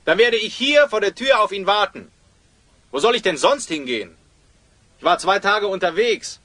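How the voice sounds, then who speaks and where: Stubborn peasant lad, audio drama, higher-pitched, swooping intonation, theatrical, chatty. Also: male voice, sing-song, moderate pace, sharp tone, no echo, full character voice. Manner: hearty, theatrical, insistent. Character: hero posing as chatty, stubborn young peasant farmer. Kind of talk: German audio drama acting.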